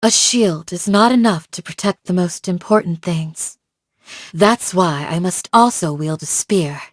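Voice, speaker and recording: A woman playing a calm, devoted village guardian in a video game, speaking in a measured, formal, gently solemn way. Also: lowish voice, no room sound, clean studio sound. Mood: earnest. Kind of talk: scripted game character voice lines.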